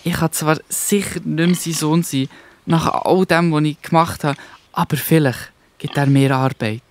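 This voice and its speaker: A man's voice: a little high, steady tone, moderate pace, natural voice. A man playing a humble, remorseful young runaway son, pleading, in a Swiss German children's Bible radio play. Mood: regretful, hopeful. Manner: despairing, pleading.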